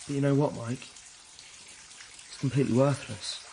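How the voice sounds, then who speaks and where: An actor playing a lonely, earnest posh schoolboy in a radio drama, with a husky voice. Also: male voice, medium pitch, fairly even intonation, moderate pace, warm tone, a little hiss or hum, natural voice.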